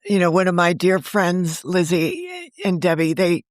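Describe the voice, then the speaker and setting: A woman's voice: fairly low pitch, sing-song, a little slow, dry recording, studio quality. A woman in her sixties, a novelist guest, talking earnestly in a rambling, casual way with frequent restarts. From United States. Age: 60s. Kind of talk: casual interview conversation.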